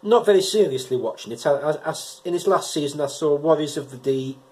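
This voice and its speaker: A chatty middle-aged man with a cold talks straight to his camera. His voice sits slightly high for a man, and he stumbles and repeats words as he goes. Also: lively intonation, fairly quick, a little mellow. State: has a head cold. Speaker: vlogger reviewing episodes. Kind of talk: casual personal vlog talk. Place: living room indoors, and a car park outdoors at night.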